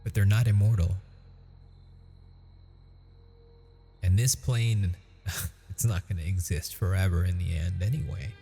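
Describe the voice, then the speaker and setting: A tender, teasing ghost boyfriend, voiced by a man, murmurs in an unhurried, intimate hush. His voice sits low and very warm, mellow rather than bright, as if confiding secrets in an ASMR roleplay. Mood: musing. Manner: soft, intimate, chuckling often. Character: gentle, teasing male ghost lover, centuries-wise.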